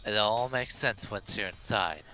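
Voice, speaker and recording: A man's voice: fairly low pitch, a little slow, pushed and bright, faint music behind. A man playing a haughty, cold young man for a game dub, with an imperious, clipped and superior manner. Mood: smug impatience.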